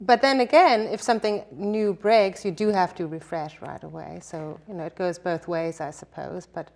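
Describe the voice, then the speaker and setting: Lady, talks soft and kinda hesitant, low-ish voice. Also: fairly even intonation, normal speed, gravelly. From Germany and Denmark. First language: German. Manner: soft-spoken, hesitant with fillers and restarts. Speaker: guest, newspaper publisher. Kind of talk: conversational interview answers.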